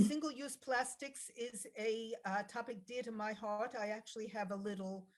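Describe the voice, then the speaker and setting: A woman's voice: fairly high pitch, steady tone, moderate pace, a crowd in the background. A woman speaking as an election candidate, answering forum questions in an emphatic, blunt way. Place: online video call.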